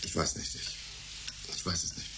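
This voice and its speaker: An actor playing a courteous, troubled, weary gentleman scientist in a German radio drama, hesitant and absent-minded. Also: normal speed, noisy background, close to an everyday voice. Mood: distracted, uncertain.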